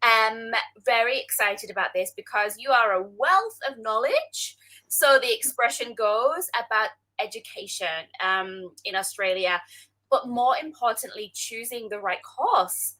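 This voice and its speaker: A woman's voice: on the high side, lively intonation, measured pace, bright, forceful tone, poor audio. A woman hosting an interview over a video call, chatty and upbeat, with many "um" and "like" fillers. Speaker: host.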